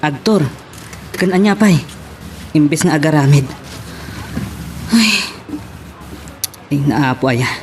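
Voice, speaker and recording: A woman's voice: sits low, lively intonation, slow, pauses often, darkish tone, slight background noise. An actress with an Ilocano accent playing an exasperated daughter pleading with her mother in a radio drama.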